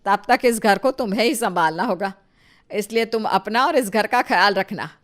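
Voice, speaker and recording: A woman voicing a domineering, nagging mother-in-law with a sharp, bossy manner in an animated family story. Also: mid-range voice, moderate pace, natural voice. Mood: firm, instructing. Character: scolding, scheming, domineering mother-in-law of two daughters-in-law.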